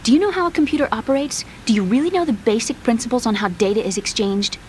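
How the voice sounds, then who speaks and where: A woman's voice: a little high, quick pace, a little hiss or hum, lightly acted. An actress voicing an earnest, brainy young woman computer scientist in a video game, lecturing precisely and a little haughtily with pointed questions. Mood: grave, explaining. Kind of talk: scripted game cutscene dialogue.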